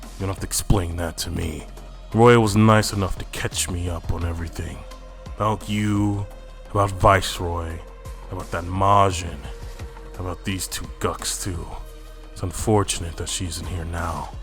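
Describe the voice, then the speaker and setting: Man playing a weary, wry, low-voiced anime warrior. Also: fairly even intonation, normal speed, fairly bright tone, soft background music. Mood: irritated. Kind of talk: anime fan-fiction roleplay voice acting.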